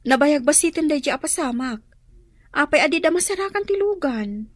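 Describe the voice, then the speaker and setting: A woman's voice: high-pitched, expressive, fairly quick, no echo. A woman with a Filipino accent playing an inquisitive young woman in an Ilocano radio drama. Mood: puzzled, anxious.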